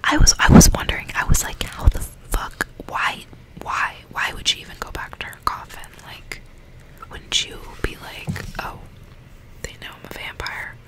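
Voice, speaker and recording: A woman's voice: normal speed, poor audio. Whispering woman, close-up ASMR reading. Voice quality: breathy whisper. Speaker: ASMR reader and commentator. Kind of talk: whispered ASMR book reading with casual asides. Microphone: close ASMR microphone.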